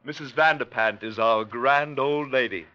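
An actor playing a pale, inhuman creature posing as a genteel gentleman in an old-time radio drama, courteous and sly. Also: mid-range voice, moderate pace, natural voice. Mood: deferential. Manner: courteous, formal, unctuous. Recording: dull vintage radio recording.

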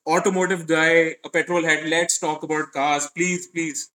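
A man's voice: fairly high pitch, flat delivery, normal speed, pushed and bright, poor audio. A man, chatting casually on a podcast, rambling and self-mocking, laughing often.

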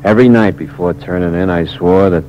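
An actor plays a young jailbird from a vintage radio thriller. His voice is deep, weary and bitter, with slangy hard-boiled delivery, on a muffled recording with clear hiss and noise. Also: a little fast, mellow, natural voice. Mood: bitter, brooding. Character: weary, bitter young male ex-convict, mortally wounded. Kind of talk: 1940s radio crime acting. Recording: dull, noisy old radio recording.

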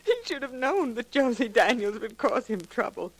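An actress playing a distraught, guilty wife in a 1940s radio drama, with a pleading, melodramatic delivery. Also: female voice, a little high, animated, moderate pace, rough recording.

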